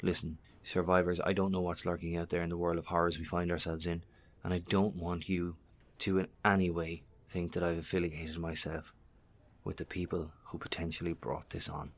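A man's voice: low voice, level tone, normal speed. A man playing a grave professor warning survivors in a horror audio drama, formal and imploring.

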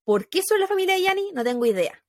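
Woman, Chilean accent, casual storytelling, animated, fillers, dry recording. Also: extremely high pitch, fast talker, no echo. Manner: animated, laughs, many fillers. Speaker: podcast host telling a true crime case.

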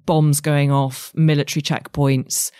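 A woman's voice: low-pitched, little pitch movement, unhurried, mellow, clean tone, dry recording, clean studio sound. A woman in her forties with an English accent, a writer speaking candidly and thoughtfully as an interview guest. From England. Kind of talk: reflective interview conversation.

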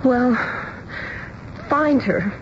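An actress playing a high-strung, distraught young bride-to-be with an emotional, plaintive delivery in a radio drama. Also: female voice, drawn-out words. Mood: tearful, exasperated. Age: young adult. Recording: muffled radio recording, faint noise.

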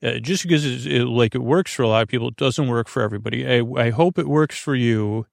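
Creaky-voiced man rambling soothingly. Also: mid-range voice, fast talker, dry recording, studio quality. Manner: meandering, hesitant, trailing off into tangents; lulling, gentle. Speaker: host of a bedtime story podcast. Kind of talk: rambling, soothing bedtime monologue.

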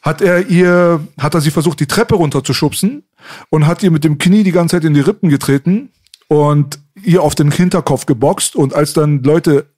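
Slangy podcast host, dry close sound. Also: male voice, fairly high pitch, normal speed, no room sound. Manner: slangy, animated storytelling. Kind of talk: casual storytelling chat.

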